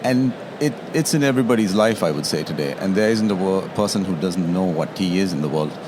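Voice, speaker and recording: A man's voice: low voice, expressive, fairly quick. A man from Sri Lanka, a tea taster explaining his trade in an interview, often checking in with "yeah?". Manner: explanatory, tags statements with "yeah?". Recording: noisy trade show floor.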